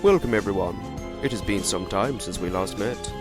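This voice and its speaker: A performer puts on a gray-haired male butler in an audio drama, speaking in a very low, formal and deferential way. His manner is stiff and measured. Music plays behind him. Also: close to an everyday voice. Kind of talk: fan audio drama acting.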